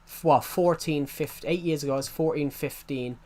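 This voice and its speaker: A man's voice: on the high side, brisk, a little mellow. Rambling young man who repeats himself. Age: 20s. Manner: rambling, repeats each phrase before going on. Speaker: streamer. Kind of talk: rambling casual livestream chat.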